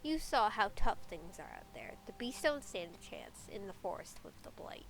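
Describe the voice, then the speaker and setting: A woman's voice: medium pitch, huge pitch swings, moderate pace, vocal fry, a little hiss or hum. A woman voicing a wary, world-weary forest witch from a fantasy game, with a sceptical, foreboding manner.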